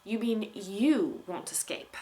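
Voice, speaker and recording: A woman's voice: mid-range voice, animated, slow, warm tone. Woman reading as a snarky, skittish male unicorn. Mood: wry, snarky. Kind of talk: storybook character reading.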